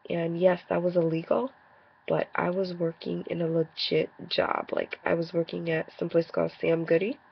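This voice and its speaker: Congested woman with a gravelly voice. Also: fairly low pitch, dull audio. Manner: frank, defensive, rambling. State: congested from a cold. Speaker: vlogger. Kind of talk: casual video rant.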